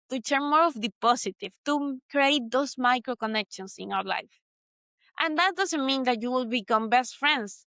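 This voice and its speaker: A woman's voice: fairly high pitch, pushed and bright, dry recording. A woman from Venezuela with a Venezuelan Spanish accent, hosting a solo motivational talk in an earnest, reflective way that repeats points for emphasis. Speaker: podcast host.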